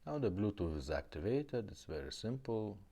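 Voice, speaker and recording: Deep, mellow man demoing gear. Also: sing-song, moderate pace. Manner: plain, matter-of-fact, ends points with "okay?". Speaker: car radio repair host. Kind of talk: casual product demonstration.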